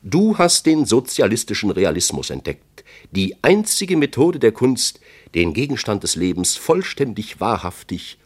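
A man playing an imperious, deep-voiced tsar. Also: sing-song, unhurried, slightly rough, exaggerated voice. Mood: delighted, grand. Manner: commanding, declamatory. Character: imperious, vain, quick-tempered one-eyed male tsar. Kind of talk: radio-play storytelling.

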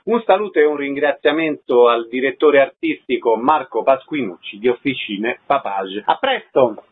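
A man's voice: mid-range voice, husky. A man hosting a radio show with concise announcements and questions. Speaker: radio host, interviewer. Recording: narrow lo-fi radio sound.

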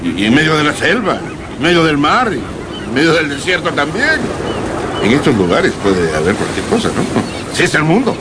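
Bewildered sailor, high-ish voice, wildly animated, stammering, agitated, loud wind and waves, radio drama. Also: male voice, moderate pace. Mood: excited, insistent. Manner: stammering, agitated, repeating words. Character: excitable, bewildered male polar sailor. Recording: loud wind and sea effects. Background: wind and waves sound effects.

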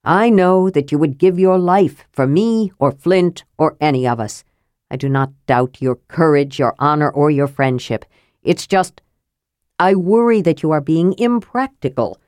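Casting: weary, sensible half-elf man, low-voiced a woman narrator performing, storybook fantasy. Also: expressive, unhurried, a little mellow, no echo, clean studio sound. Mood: weary reassurance. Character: cautious, level-headed male half-elf leader, practical and weary.

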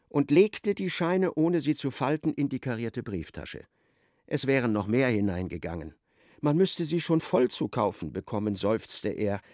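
A man narrating a radio play as literary storyteller, in a measured and wry manner. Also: mid-range voice, creaky, a little mellow, no room sound, studio quality.

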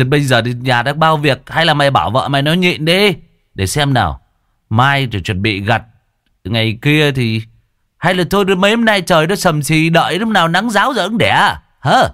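A man voicing a gruff, comic older village neighbour who grumbles bluntly and crudely in an audio story. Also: fairly high pitch, sing-song, normal speed, fairly bright tone, dry recording, studio quality, pitched above the performer's own voice. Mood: dawdling, reluctant.

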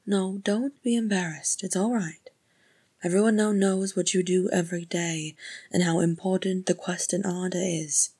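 A woman narrator voicing a gentle, coaxing dwarf woman who soothes her kin in a storybook. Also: on the low side, fairly even intonation, normal speed, slightly rough.

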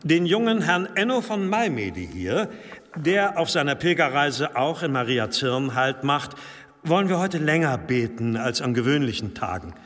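An actor playing a solemn, authoritative monastery abbot, formal and preacherly, in a German radio drama. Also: male voice, a little high, fairly bright tone. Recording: long church-like reverb.

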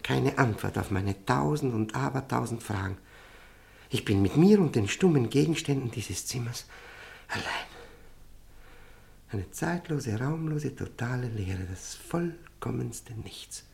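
An actor playing a haunted, cultured former prisoner in a radio play, telling his story intensely and deliberately with heavy pauses. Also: medium pitch, lively intonation, gravelly, darkish tone. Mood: bleak, haunted. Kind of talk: dramatic monologue in a radio play.

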